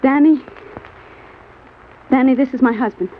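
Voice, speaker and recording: Noir radio role: married temptress, pleading and melodramatic, on a muffled old broadcast with slight hiss. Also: female voice, sits high, a little slow. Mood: nervous. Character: seductive, pleading married femme fatale. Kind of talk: old-time radio crime drama acting. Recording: dull, slightly noisy old radio recording.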